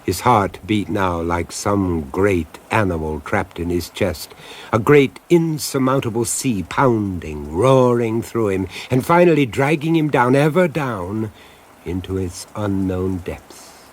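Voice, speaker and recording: A man's voice: fairly low pitch, expressive, slow pace, slight background noise. An older man narrating a horror radio drama in a grave, relishing tone.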